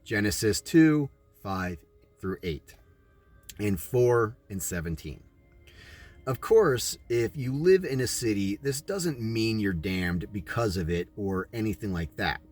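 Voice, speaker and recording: A man's voice: fairly low pitch, animated, slow pace, a little mellow, faint music behind. An American man reading aloud and adding his own commentary in an emphatic, preachy manner, like a sermon. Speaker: audiobook reader and commentator.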